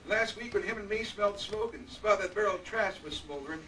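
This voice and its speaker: Grieving old male laborer, played by an actor, humble and shaken, higher voice, noisy radio-play stage recording. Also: a little fast, bright, forceful tone, far from the mic. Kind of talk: old-time radio drama acting.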